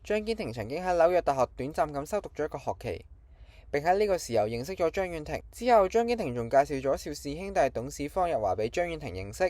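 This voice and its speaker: A man's voice: a little high, very animated, fast talker, darkish tone, no room sound. A man with a Hong Kong Cantonese accent presents scripted film trivia as an online channel host.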